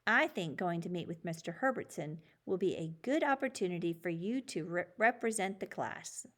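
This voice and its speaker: A woman's voice: lowish voice, expressive, moderate pace, a bit bright. A woman reading the part of a fair male schoolteacher in a children's storybook, firm and instructive.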